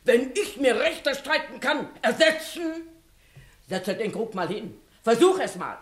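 An actress playing an outraged, sharp-tongued middle-aged village mother in a classical 1960s radio play, scolding and mocking as she declaims. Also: fairly high pitch, lively intonation, a little slow. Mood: indignant, mocking. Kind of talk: 1960s German-language radio play, classical stage acting. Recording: roomy 1960s radio studio.

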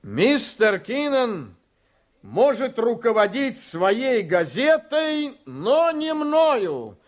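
A combative, self-righteous politician in a radio drama. His voice sits high and swings in lively arcs as he declaims each point emphatically. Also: extremely slow, exaggerated voice. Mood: indignant, proud. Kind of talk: Soviet radio drama acting.

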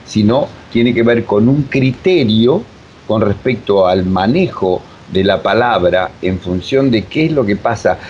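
A mature man with an Argentine accent explaining reflectively as an interview guest, with emphatic repetition and deliberate pauses. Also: lowish voice, lively intonation, a little mellow. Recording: dull lo-fi sound, faint noise.

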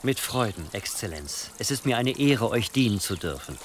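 A man's voice: lowish voice, very animated, normal speed, slight background noise. An actor playing a ruthless, scheming churchman who speaks with formal, calculating deference in a German historical radio drama. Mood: servile, eager. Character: scheming, obsequious, ruthless churchman advising his bishop.

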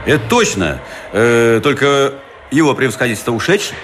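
Casting: folksy attendant, hugely swinging intonation, offhand, radio play, noisy surroundings. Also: male voice, medium pitch, sing-song, moderate pace, fairly bright tone. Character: offhand, folksy male attendant at railway carriage. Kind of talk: Russian radio drama acting. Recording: noisy ambience behind the voice.

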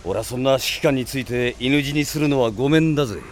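An actor playing a blunt, gruff male mercenary with a rough, confrontational way of talking in a radio drama. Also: mid-range voice, fast talker, a little hiss or hum, close to an everyday voice.

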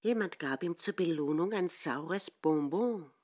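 A woman reading narration in a literary radio drama in a slow, deliberate manner. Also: on the low side, expressive, darkish tone.

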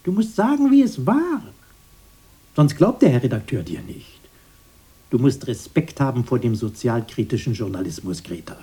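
Radio drama: bitter, domineering brother, husky and swooping, insistent and mock-earnest. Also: male voice, mid-range voice, sing-song, normal speed, warm tone. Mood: indignant. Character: bossy, bitter brother recalling a poor childhood.

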